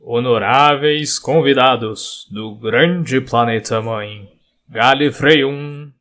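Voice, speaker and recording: Man playing a slow, solemn ceremonial herald. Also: mid-range voice, fairly bright tone. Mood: solemn, formal. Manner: formal, measured, proclaiming. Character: solemn ceremonial male palace guard announcing honoured guests. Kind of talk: audio drama herald announcement.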